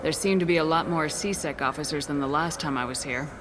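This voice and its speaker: A woman plays a steadfast military commander from a science fiction game. Her voice sits low and she talks firmly and directly, with faint noise behind her. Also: fast talker, lightly acted. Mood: businesslike. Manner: firm, direct. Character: steadfast female human military commander, composed and assertive. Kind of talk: video game voice acting.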